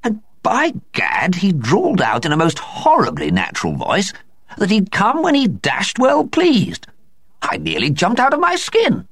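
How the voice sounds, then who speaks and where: A man voicing an agitated upper-class gentleman who talks in a breathless, excited way. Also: sits high, measured pace, dry recording, clean studio sound, voice pushed up, full character voice. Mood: breathless excitement.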